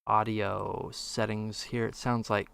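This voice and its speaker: A man's voice: on the low side, little pitch movement, takes it slowly, vocal fry, crowd noise. A man co-hosting a casual podcast, reacting to a game trailer with sarcastic disbelief. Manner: sarcastic, incredulous. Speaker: podcast co-host. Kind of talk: casual chat reacting to a video.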